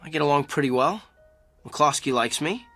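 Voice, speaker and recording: A man's voice: fairly high pitch, lively intonation, moderate pace, a bit bright, faint music behind. An actor playing a troubled, sardonic company man in therapy in an audio drama, with a curt, defensive delivery. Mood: uneasy, resigned.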